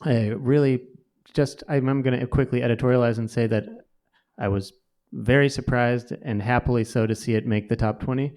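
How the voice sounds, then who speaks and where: Mid-pitched man casually co-hosting a panel. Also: fairly even intonation, normal speed, darkish tone. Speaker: co-host and magazine editor. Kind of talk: live panel presentation, casual. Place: live event on stage before an audience.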